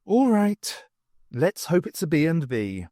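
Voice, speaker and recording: A man voicing a wry, weary, self-aware woman adventurer in an audiobook, brisk and exasperated. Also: on the high side, very animated, mellow, no room sound, clean studio sound.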